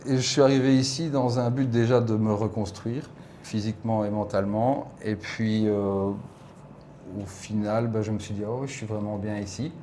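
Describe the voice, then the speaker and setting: A man's voice: on the low side, steady tone, normal speed, warm tone, slight background noise. A man in his forties from Belgium with a Belgian French accent, a guest chatting casually and reflectively in an interview, laughing easily. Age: 40s.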